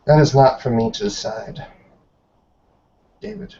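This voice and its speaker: A man's voice: medium pitch, expressive, warm tone, distant mic, a little hiss or hum. Man acting as an old woman elder, commanding, formal, tabletop roleplay, lo-fi call audio. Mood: grave authority. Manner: formal, measured, imperious. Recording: dull, lo-fi online call.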